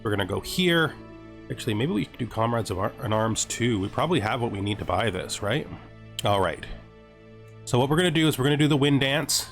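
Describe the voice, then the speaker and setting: Man, medium pitch, gameplay host, narration and commentary, light background music. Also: animated, fairly quick, creaky. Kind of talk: gaming commentary with scripted lore narration.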